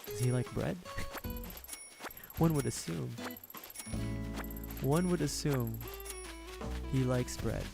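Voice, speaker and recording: A hoarse man streaming a game, chatting casually in a rambling, joking way with frequent laughs. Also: medium pitch, takes it slowly, long pauses. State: hoarse, sore throat. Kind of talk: casual gameplay commentary. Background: game music.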